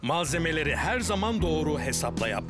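A man voicing a steady, rule-minded cement truck in a children's cartoon, speaking in an instructive, emphatic way. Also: a little high, fairly quick. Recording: loud music behind the voice.

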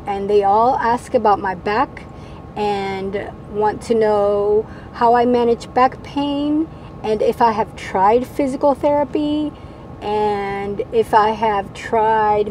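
Middle-aged female vlogger, Spanish as first language, soft-edged relaxed tone, explanatory to-camera talk, some noise inside a van. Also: mid-range voice, slow, rough recording. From Venezuela, moved to the United States at ten. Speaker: vlogger, channel host. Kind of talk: casual vlog, answering viewer questions to camera. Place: parked camper van cab. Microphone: lapel mic. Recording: steady background noise inside a vehicle.

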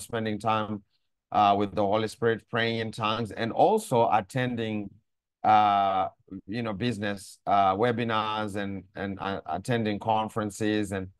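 A middle-aged man from Zimbabwe, a pastor, speaking on a video call in a measured way with many pauses and fillers. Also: fairly low pitch, little pitch movement, dry recording. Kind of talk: conversational faith teaching on a video call. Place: room indoors. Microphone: lapel mic on a video call.

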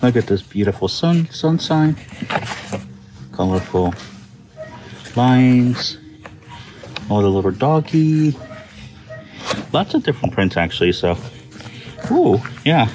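A man's voice: medium pitch, big pitch swings, slow pace, pauses often, darkish tone, faint music behind, poor audio. A man hosting a shopping vlog, chatting casually and reading product labels aloud as he walks through a store. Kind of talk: casual store walkthrough narration. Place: inside a large discount store. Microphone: handheld phone camera. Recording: phone recording with store background noise. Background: store ambience.

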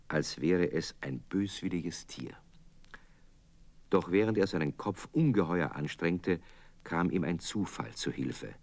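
A man narrating a children's radio play as an expressive, scripted storyteller. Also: low voice, dry recording.

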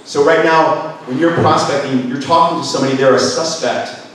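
A middle-aged man giving a lively workshop talk on a handheld mic in a conference room, asking the audience questions. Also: fairly high pitch, normal speed. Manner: energetic, gestures, asks the room questions. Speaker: business speaker. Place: conference room with projector screen. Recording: echoey room from far off, faint noise.

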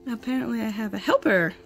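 A woman vlogger sick with the flu, her voice gravelly, chatting in a rambling, self-deprecating way while reviewing glasses at home. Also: on the high side. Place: home, indoors and outdoors in yard.

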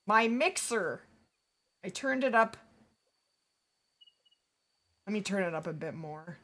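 Bright chatty woman losing her voice. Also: fairly high pitch. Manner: chatty, greeting viewers by name. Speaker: streamer. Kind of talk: live game stream chat. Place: home streaming setup.

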